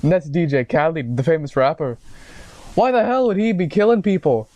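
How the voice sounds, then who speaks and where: A man's voice: fairly high pitch, expressive, a little fast, darkish tone, dry recording, voice pushed up, close to an everyday voice. A man voicing an indignant police commissioner, pompous and blustering, with a botched British-Scottish put-on accent.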